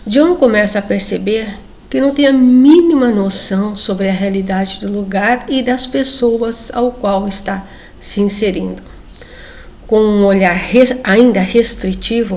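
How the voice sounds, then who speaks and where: A woman's voice: medium pitch, creaky, darkish tone. A woman presenting a comic book to her viewers in a casual, chatty way, often checking in with them. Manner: chatty, addresses viewers, frequent tag questions. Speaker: book reviewer. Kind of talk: casual presentation reading comic excerpts aloud.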